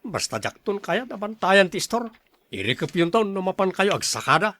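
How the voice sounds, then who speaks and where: A man's voice: high voice, big pitch swings, normal speed, a bit bright, dry recording. A man plays a short-tempered Ilocano older brother in a radio-style family drama. He finds fault with everyone and speaks bluntly, like someone used to scolding. The sound is dry, with very little room in it. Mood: angry, scolding. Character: irritable, fault-finding older brother and shopkeeper.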